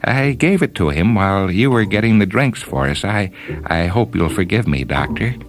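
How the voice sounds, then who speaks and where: A man's voice: low-pitched, lively intonation, normal speed, pitched above the performer's own voice. A man voicing an earnest, courteous male visitor in a radio-style story reading, hesitant and formal in his speech. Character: earnest, polite male visitor pleading against weapons.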